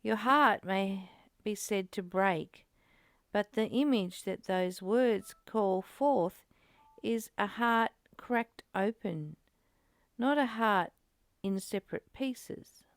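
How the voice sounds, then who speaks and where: A woman's voice: medium pitch, slow pace, dry recording. A woman speaking earnestly in a reflective spiritual discussion, often repeating phrases as she goes. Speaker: study group host reading and commenting. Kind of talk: reflective spiritual discussion, partly read aloud.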